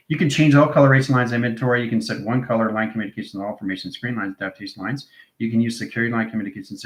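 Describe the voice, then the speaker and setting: The recording is noticeably echoey around a man with a fairly low voice. He teaches in an improvised esoteric monologue. His phrases come as incantatory strings of technical-sounding terms. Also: a bit bright. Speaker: instructor, channel presenter. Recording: echoey, reverberant room sound.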